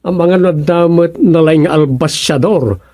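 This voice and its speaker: A grown son in a village family, with an Ilocano accent. His voice sits a little high, and he banters in the style of a radio comedy-drama. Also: measured pace, mellow, dry recording, studio quality. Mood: bantering.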